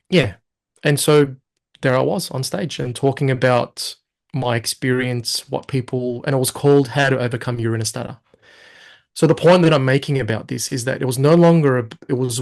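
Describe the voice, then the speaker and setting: Animated man telling his story. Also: mid-range voice, creaky. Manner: animated storyteller, quotes himself in lively asides. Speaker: guest. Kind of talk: casual interview talk.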